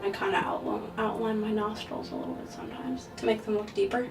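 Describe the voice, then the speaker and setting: A woman's voice: mid-range voice, fairly even intonation, fast, vocal fry. A young woman, a drag king artist, explains her makeup routine in a casual, offhand way, joking about herself as she goes. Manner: offhand, joking, self-deprecating. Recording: distant mic with music behind.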